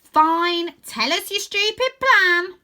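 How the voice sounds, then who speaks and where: A woman's voice: very high-pitched, lively intonation, slow pace, bright, forceful tone, pitched above the performer's own voice, heavily acted. A woman voicing a fierce, bossy young girl chief in a children's storybook, with a commanding, curt, scornful manner.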